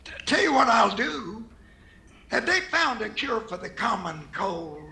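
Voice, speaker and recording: A man's voice: high-pitched, very animated, measured pace, roomy sound, heavy background noise, full character voice. An actor playing a meek, naive old male guard in an old-time radio comedy, earnest and out of touch. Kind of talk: 1940s radio comedy acting.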